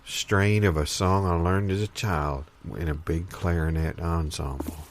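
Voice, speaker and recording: A man playing a polite, earnest grandson who talks in solemn, wordy deadpan in improvised audio comedy. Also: deep voice, measured pace, a little hiss or hum. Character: polite, earnest grandson swapping absurd old memories.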